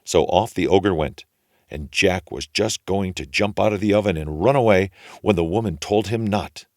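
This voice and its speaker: A man's voice: low-pitched, moderate pace, dry recording, clean studio sound. A man narrating a children's fairy tale as a storyteller, in a folksy, colloquial manner.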